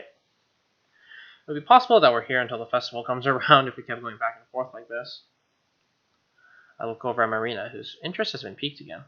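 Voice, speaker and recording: A man hosting a gaming video, reading a visual novel aloud with casual, snarky and profane asides. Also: mid-range voice. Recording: dull lo-fi mic over music. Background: game music with guitar.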